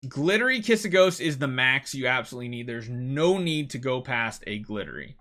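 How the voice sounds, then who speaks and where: A man with a bright, forward voice hosts a gaming video. He talks casually through gameplay advice, leaning on key words and repeating them for emphasis. His pitch sits in an ordinary middle range for a man. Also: sing-song, fairly quick.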